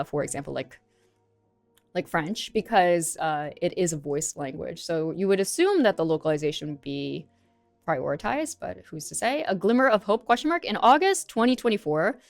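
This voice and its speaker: A woman's voice: lowish voice, dry recording. A young woman, a native English speaker, casually reading an article aloud and commenting on it with many fillers. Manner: chatty, many fillers, reads text aloud then comments. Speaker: streamer reacting to an article.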